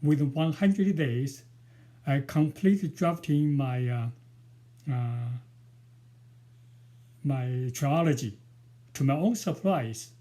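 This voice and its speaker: Slow, hesitant Chinese-accented man in his sixties. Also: a little high, dark tone. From China. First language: Mandarin. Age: 60s. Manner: hesitant and careful, with frequent pauses and fillers. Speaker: author. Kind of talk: self-introduction before a book reading.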